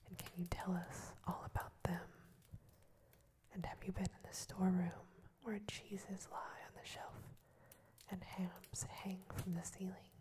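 A woman's voice: on the low side, poor audio. A woman whispering the lines of eager, curious little mice in a storybook reading.

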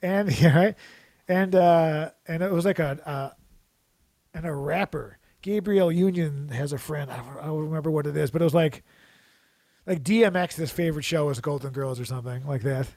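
A man with a somewhat high voice rambles through jokey stories in casual chat, often restarting his sentences. The sound is close and dry, with no room around it. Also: normal speed, darkish tone, no room sound.

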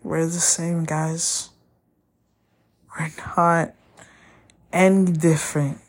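A woman whose first language is Spanish, hosting a podcast and talking candidly about a breakup, often pausing to find her words. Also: low voice, little pitch movement. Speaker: podcast host. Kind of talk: personal monologue.

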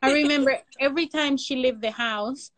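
A woman's voice: high voice, moderate pace, a bit bright, no echo. A woman from Mexico City with a Mexican Spanish accent and Spanish as her first language, talking casually as an interview guest, rambling with lots of fillers over a video call. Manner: rambling, frequent "like" fillers, non-native grammar, laughs often. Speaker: guest, makeup and prosthetic artist.

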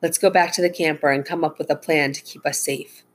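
A woman's voice: low-pitched, fairly even intonation, a little fast, slight background noise, natural voice. A woman voicing a resolute, earnest, protective father in a children's storybook. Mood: concerned, planning.

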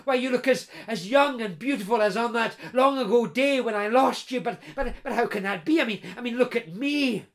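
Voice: medium pitch, fairly quick, few pauses, natural voice. A storyteller voicing an aging, grey, scarred blacksmith who stammers and repeats words as he pleads with his lost love. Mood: astonished.

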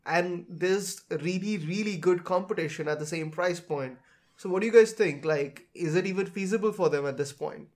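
A man's voice: high-pitched, moderate pace, a little mellow. A man, chatting casually as a tech podcast host.

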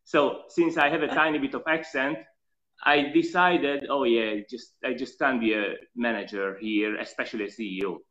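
A man who is not a native English speaker, with a slight European accent, telling his life story earnestly in an interview and pausing often on fillers. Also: medium pitch, fairly even intonation, a bit bright, lo-fi sound. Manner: earnest, reflective, halting with fillers. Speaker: guest.

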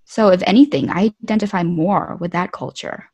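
A woman's voice: on the low side, brisk, a little mellow, husky. A young woman who grew up in Malaysia, chatting casually as a guest in a discussion. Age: young adult. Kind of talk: casual chat.

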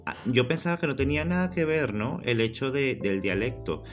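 A man from Venezuela co-hosting a casual podcast and telling an anecdote in a relaxed, measured way. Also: medium pitch, faint music behind. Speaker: podcast co-host.

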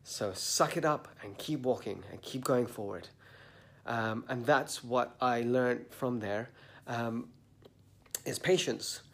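A man with a slightly blocked nose hosting a motivational podcast, talking in an earnest, slow and deliberate way and stressing his words. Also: mid-range voice, gravelly, a bit bright. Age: adult, a parent. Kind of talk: reflective motivational monologue. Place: sitting indoors at dawn.

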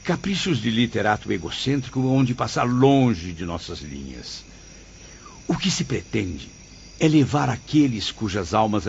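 A man's voice: on the low side, creaky, slight background noise, exaggerated voice. A man playing the sorrowful spirit of a cultured writer in his sixties, speaking solemnly and eloquently in a radio drama. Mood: solemn, resolute. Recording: dull lo-fi sound with music. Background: background music.

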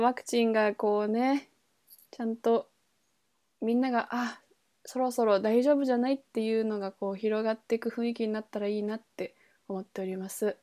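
A woman's voice: fairly high pitch, dark tone, dry recording, clean studio sound. A woman co-hosting a podcast in casual, colloquial conversation. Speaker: podcast co-host, performing musician. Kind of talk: casual chat.

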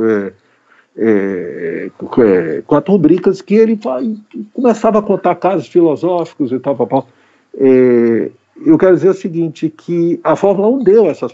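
A man with a mellow, darker voice talks casually as a guest, looping back over his words and leaning on fillers. The sound is a bit dull, as if carried over a video call. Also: medium pitch. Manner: rambling, repeats words with many fillers. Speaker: guest, writer and journalist. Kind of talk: casual interview chat. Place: home during pandemic.

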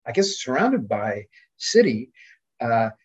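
Male academic guest, husky mid-pitched voice, discursive and hesitant, dull lo-fi recording. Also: very animated, slow. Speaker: podcast guest, literary scholar. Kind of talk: scholarly conversation.

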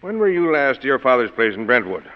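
An actor playing a tough, dry-witted police inspector in a 1940s radio drama, speaking tersely and gruffly. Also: male voice, medium pitch, sing-song, quick pace, close to an everyday voice. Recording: muffled 1940s radio broadcast.

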